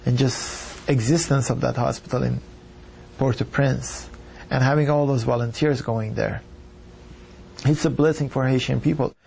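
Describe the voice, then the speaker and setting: A doctor from Iran telling his story in an earnest, measured way. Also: medium pitch, fairly even intonation, creaky, darkish tone, slight background noise, rough recording. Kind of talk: reflective personal account.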